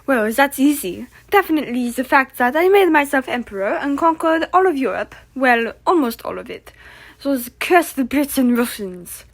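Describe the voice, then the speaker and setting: A woman puts on a self-important little emperor in a comedic puppet show. The voice is high and very animated. The manner is boastful, indignant and quick to take offense. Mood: indignant boasting. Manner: pompous, indignant, sarcastic. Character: touchy, boastful emperor Napoleon as talk-show guest.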